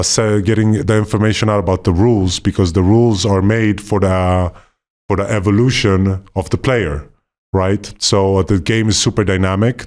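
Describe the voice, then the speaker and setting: A man from Poland with a somewhat low voice, co-hosting a podcast. He talks in a relaxed, rambling way and gets enthusiastic as he explains his plans. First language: not Polish; left Poland at five. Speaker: podcast co-host, former basketball player. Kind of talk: casual podcast conversation.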